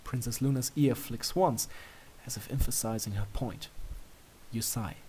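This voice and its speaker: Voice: mid-range voice, a little slow, dark tone, slight background noise, poor audio. A narrator reading a scripted story aloud.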